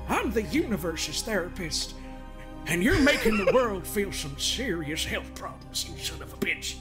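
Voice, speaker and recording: A woman's voice: mid-range voice, big pitch swings, normal speed, close to an everyday voice. A woman voicing a smug, scolding middle-aged male therapist of the universe in an improvised comedy game dub, rambling, folksy and theatrical. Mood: amused, scolding. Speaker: fan dub voice performer. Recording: music under the voice.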